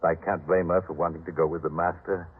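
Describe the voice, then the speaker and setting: A man's voice: low voice, flat delivery, fast talker, slightly rough. A man playing a proud householder, earnest and theatrical, in a 1950s radio drama. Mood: remorseful. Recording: muffled, tinny 1950s radio recording.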